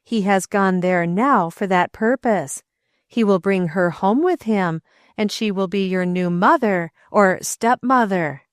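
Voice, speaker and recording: A woman voicing a graying, plain-spoken aunt in a storybook, speaking in a measured and reluctant way. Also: medium pitch, no room sound, clean studio sound, lightly acted. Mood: gentle. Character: graying aunt, tactful but grim.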